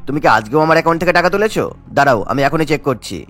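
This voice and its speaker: A man's voice: a little high, animated, racing, fairly bright tone, faint music behind. A man voicing a stern, thrifty older husband who scolds his wife in an exasperated way. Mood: scolding.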